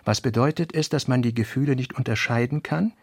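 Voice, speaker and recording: Male reader, raspy, very dry close sound, measured, sober, literary radio reading. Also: mid-range voice, no room sound.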